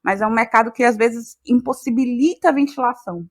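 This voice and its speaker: A woman's voice: a little high, a little fast. Bahian Brazilian woman, reflective and emphatic. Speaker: guest, playwright, actress and journalist. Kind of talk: reflective interview talk.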